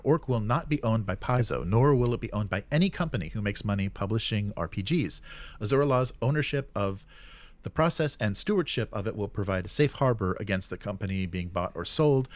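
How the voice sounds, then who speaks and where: A man hosting a show, reading out news and commenting casually in a halting, agreeable way. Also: lowish voice, animated. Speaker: show host.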